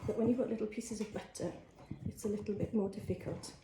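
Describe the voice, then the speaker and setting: A woman's voice: medium pitch, steady tone, fast, background noise. A woman with a Welsh accent giving a homely, chatty cooking demonstration, explaining each step as she works in her kitchen. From Wales. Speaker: cookery teacher. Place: kitchen, indoors. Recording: echoey kitchen with faint clatter.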